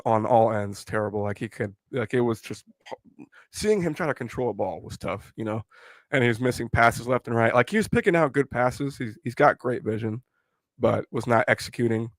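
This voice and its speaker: A hoarse young man who has lost his voice while sick, rambling opinions on a casual live stream. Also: mid-range voice, dry recording. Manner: rambling, opinionated, filler-heavy. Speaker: fan channel host.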